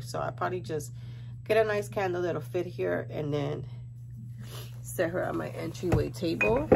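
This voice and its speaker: A woman's voice: lowish voice, creaky, background noise. A congested woman chatting casually to viewers in a rambling haul vlog, thinking aloud as she goes. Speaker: home decor haul vlogger.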